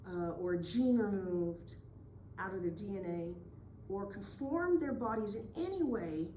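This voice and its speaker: A woman moderating a forum, reading a prepared question aloud in a slow, deliberate listing manner. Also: mid-range voice, animated, mellow, a little hiss or hum. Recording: echoey room.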